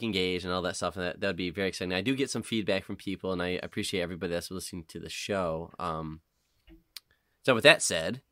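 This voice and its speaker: A man's voice: low-pitched, sharp tone, no echo, clean studio sound. A man co-hosting a podcast, chatting casually in an emphatic, slangy way. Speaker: podcast co-host.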